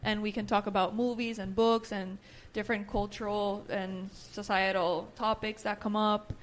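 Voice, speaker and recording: A young woman, raised in Nicaragua with Spanish as her first language, co-hosts a podcast as a therapist. Her pitch is ordinary for a woman, and she talks in an easy conversational flow, often dropping in "you know" and "right". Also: little pitch movement, moderate pace. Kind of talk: casual chat.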